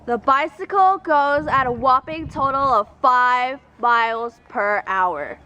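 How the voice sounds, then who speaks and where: A teen girl plays a pushy ad announcer with a salesy pitch that builds up each offer. Her voice is high, with clear background noise behind it. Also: takes it slowly, sharp tone, exaggerated voice. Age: teens.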